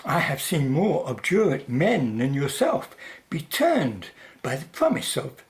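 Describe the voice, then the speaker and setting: Woman as slow, suave businessman. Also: low voice, wildly swooping pitch, exaggerated voice. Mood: polite, insistent. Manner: polite, formal, persuasive. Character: suave, calculating male company owner, quietly menacing. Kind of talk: radio drama acting.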